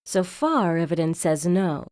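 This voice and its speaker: A woman acting as an easygoing, quick-witted and compassionate police detective in an audio crime drama. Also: fairly low pitch, sing-song, a little mellow, dry recording, clean studio sound. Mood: matter-of-fact.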